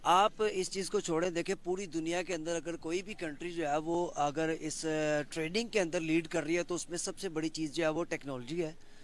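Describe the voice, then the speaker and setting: Man talking it through, bit high voice, lots of uh's. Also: steady tone, moderate pace. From Pakistan. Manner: thoughtful, many um fillers. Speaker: interviewee. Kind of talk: casual opinion.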